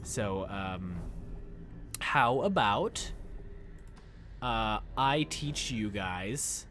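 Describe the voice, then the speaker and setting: A man's voice: lowish voice, very slow, slight background noise. A young man chatting casually with his viewers while he plays, talking into a headset mic in a small room. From United States. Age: young adult. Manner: chatty, animated, talks to chat while playing. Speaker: streamer. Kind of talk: casual gameplay commentary with chat.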